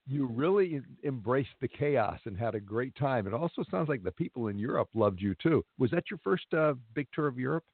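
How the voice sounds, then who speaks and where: A man hosting a radio music show, interviewing a guest in a relaxed, conversational way. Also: mid-range voice, a little fast, few pauses, no room sound. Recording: tinny phone-like line.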